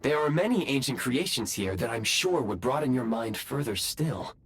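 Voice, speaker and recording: A man's voice: medium pitch, lively intonation, moderate pace, vocal fry, husky. A man voicing a self-aware ancient talking device in a video game, formal, precise, analytical and polite. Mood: politely curious.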